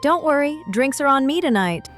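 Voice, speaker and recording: A woman voicing a level-headed female adventurer, an archer in a fantasy story, even and dryly wry. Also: sits high, moderate pace. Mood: reassuring. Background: background music.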